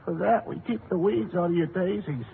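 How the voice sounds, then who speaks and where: An eccentric insurance salesman in an old radio comedy, pitched high with a creaky edge. He sells earnestly and deadpan, heard through a tinny, band-limited vintage recording. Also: a little fast, heavy background noise. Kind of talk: 1940s radio comedy acting.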